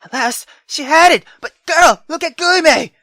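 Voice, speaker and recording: A woman reading a visual novel aloud as a gamer, dramatic and expressive, breaking off into exclamatory, sweary asides. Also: high-pitched, unhurried, no echo. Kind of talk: dramatic reading with reaction commentary. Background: game music.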